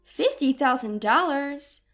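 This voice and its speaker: A woman's voice: high-pitched, expressive, slow pace, fairly bright tone, soft background music, heavily acted. A woman voicing a proud, doting grandmother in her seventies in an audio story, earnest and direct. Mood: warm, curious. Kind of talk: acted dialogue in an audio story.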